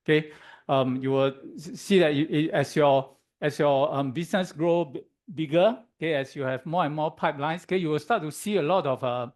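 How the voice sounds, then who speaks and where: Hoarse man giving a tech talk. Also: a little high, a little mellow. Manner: halting, many "um"s, keeps checking in with "okay?". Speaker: guest speaker at a tech meetup. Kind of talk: conference presentation. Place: office meetup room.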